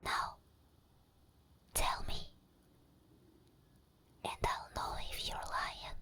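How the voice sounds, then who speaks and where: A woman playing an obsessed, possessive sleep paralysis demon in an ASMR roleplay, whispering slowly and breathily with coaxing, teasing menace. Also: sharp tone. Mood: controlling, teasing. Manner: slow, deliberate, coaxing and controlling.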